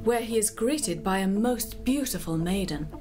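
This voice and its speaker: A woman narrator telling a scripted story in a measured, poetic storytelling manner. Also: mid-range voice, lively intonation, music in the background.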